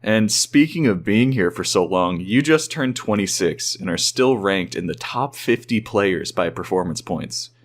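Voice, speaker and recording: A male interviewer with a fairly low voice asks prepared questions. He keeps the delivery friendly and conversational. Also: lively intonation, a little slow, few pauses.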